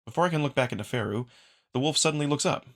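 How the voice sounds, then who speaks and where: A man's voice: a little high, rapid-fire, fairly bright tone. A man reading dramatic scripted first-person narration as the narrator of a visual novel.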